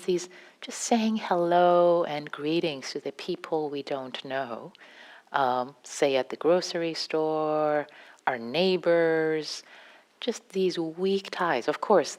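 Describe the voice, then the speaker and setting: A woman with a Farsi accent, a meditation teacher giving an unscripted dharma talk in a gentle, halting way with many restarts. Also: low voice. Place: meditation hall.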